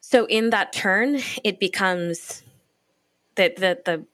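A woman's voice: medium pitch, takes it slowly, a bit bright, no room sound. A woman who writes criticism and teaches, speaking in a reflective conversation and searching for her words.

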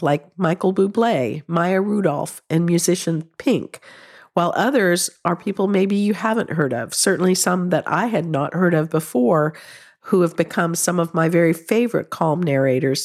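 A woman hosts her own podcast in an easy, earnest, conversational manner. She is congested from a head cold, and her throat sounds raw and slightly hoarse. Her voice sits on the lower side. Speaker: podcast host. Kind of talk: solo podcast talk with ad read.

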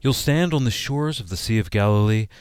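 A man's voice: lowish voice, brisk, clean tone, no room sound. A man reading a scripted radio advert as its announcer. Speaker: promo announcer. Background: soft music bed.

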